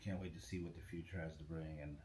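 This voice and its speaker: A man's voice: very deep voice, quick pace. A middle-aged man, a guest on a podcast, talking casually and rambling with frequent restarts about running a radio station. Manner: relaxed, rambling, restarts often. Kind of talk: casual interview chat. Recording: echoey room with faint ambient noise.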